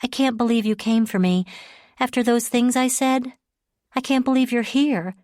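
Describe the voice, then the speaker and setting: A woman's voice: a little high, normal speed, a little mellow, dry recording, studio quality, pitched above the performer's own voice, natural voice. A woman reading an audiobook, voicing a distraught young woman who pleads and repeats herself breathlessly. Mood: tearful insistent. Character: frantic distraught young woman, shaken and remorseful.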